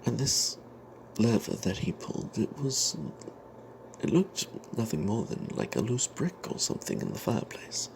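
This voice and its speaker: A man telling an off-the-cuff fantasy game story in ASMR style, breathy and half-whispered, halting, with playful old-fashioned flourishes. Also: fairly low pitch, wildly swooping pitch, a little mellow, slight background noise, low-quality recording. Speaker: storyteller narrating a tabletop game tale.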